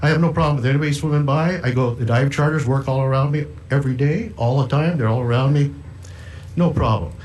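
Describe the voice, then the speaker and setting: A man's voice: medium pitch, quick pace, a little mellow, rough recording. A middle-aged man giving public testimony as a fisherman, telling a personal account. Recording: hearing room with faint noise.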